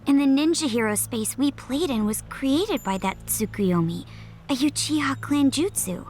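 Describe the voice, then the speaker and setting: A woman playing an earnest, inquisitive young ninja girl in an anime game cutscene, expressive and questioning. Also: sits high, moderate pace. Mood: curious. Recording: music under the dialogue.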